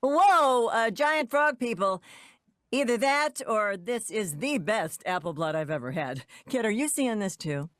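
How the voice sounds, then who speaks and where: A woman's voice: mid-range voice, lively intonation, a little slow, a bit bright, no room sound, clean studio sound. Brash, wry older cartoon witch. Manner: wry, flippant, colloquial. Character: brash, sardonic older witch woman, irreverent and cocky. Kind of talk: animated cartoon table read.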